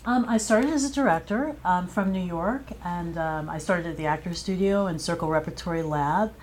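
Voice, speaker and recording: A woman's voice: lowish voice, normal speed. A woman speaking as an interview guest, talking conversationally about her work as a playwright and director. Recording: clear background noise.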